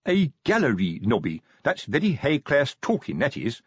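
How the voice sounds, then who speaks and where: A man's voice: mid-range voice, huge pitch swings, normal speed, slightly rough, dry recording, voice pushed up. A man voicing a stout, plodding male watch sergeant who talks earnestly and tries to sound official. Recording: dull lo-fi recording.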